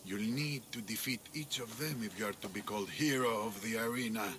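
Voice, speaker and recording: An actor playing an older male arena master and veteran warrior, speaking in a grave, measured, world-weary way. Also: lowish voice, fairly bright tone, a little hiss or hum, natural voice.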